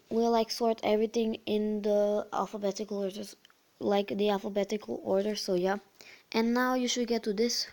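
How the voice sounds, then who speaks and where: A host casually talking through a phone tutorial over a screen recording, her voice a bit croaky, often repeating words and filling with "so yeah". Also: mid-range voice, darkish tone. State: slightly croaky voice. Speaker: channel host.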